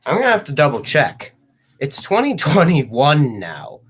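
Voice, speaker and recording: Slow-talking teenage boy rambling on stream. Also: a little high, wildly swooping pitch, a bit bright, slight background noise. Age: teens. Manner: rambling, self-mocking, jokey asides. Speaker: livestreamer. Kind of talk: rambling livestream chat.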